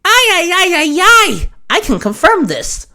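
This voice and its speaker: A man's voice: very high-pitched, huge pitch swings, a little slow, creaky, bright, forceful tone, pitched above the performer's own voice, full character voice. A man voicing an anxious little robot sidekick in an audio story, in a squealing, fretful voice. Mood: panicked.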